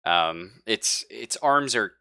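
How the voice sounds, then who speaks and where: Male game master, low register, slow delivery, slangy and profane live narration, close dry sound. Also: expressive, vocal fry, sharp tone, clear, resonant tone, no room sound.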